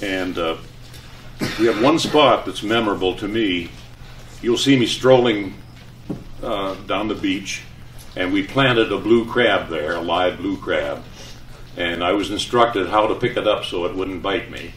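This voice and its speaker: Older man, lowish voice, casual reminiscing talk, presenter, roomy distant recording. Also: a little slow, fairly bright tone. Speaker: retired radio and TV announcer giving a talk. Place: room with an audience. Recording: roomy, distant mic, faint noise.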